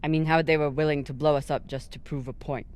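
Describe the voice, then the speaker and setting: A man playing a steady, reassuring, combat-seasoned spaceship crewmate in a video game, earnest and probing. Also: fairly high pitch, fast, smooth, lightly acted. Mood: probing, friendly. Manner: earnest, probing, lightly teasing. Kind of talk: dramatic video game dialogue.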